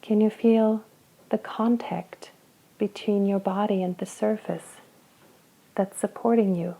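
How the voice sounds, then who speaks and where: A woman guiding a body scan meditation, speaking slowly and gently with long pauses between phrases. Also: medium pitch, steady tone, low-quality recording. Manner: slow, soft, gentle guidance with long pauses. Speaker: meditation guide.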